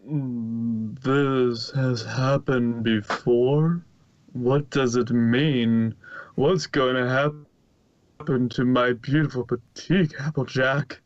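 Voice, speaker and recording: A man voicing a refined, dramatic female unicorn, drawn-out and prim, prone to hysterics, on a muffled home recording. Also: medium pitch, steady tone, no echo. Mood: alarmed.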